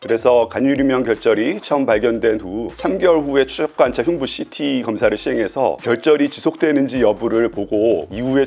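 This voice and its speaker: A male medical professor explains step by step in a calm, methodical voice of middle pitch. He pauses now and then to gather his thoughts. Faint music sits under his voice. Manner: calm, methodical, with thinking fillers. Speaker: pulmonology professor.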